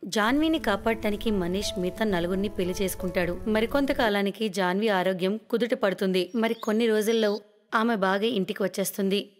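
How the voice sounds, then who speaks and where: A woman's voice: mid-range voice, fairly quick. Female narrator for cartoon moral tales, medium register, scripted storytelling, echoing hall sound. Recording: long reverberant echo.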